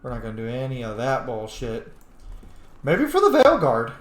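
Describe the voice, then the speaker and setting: A man doing casual gameplay commentary in an excitable, conversational, sweary way. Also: mid-range voice, moderate pace, echoey room. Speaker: gaming video host.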